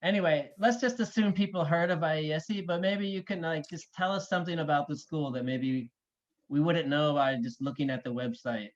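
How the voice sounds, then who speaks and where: A man's voice: on the high side, brisk. Upbeat male host on a muffled call. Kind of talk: interview hosting.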